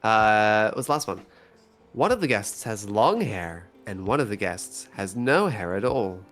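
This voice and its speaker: Someone joking casually in a group chat with a mock-serious, halting delivery. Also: mid-range voice, very animated, fairly bright tone, faint music behind.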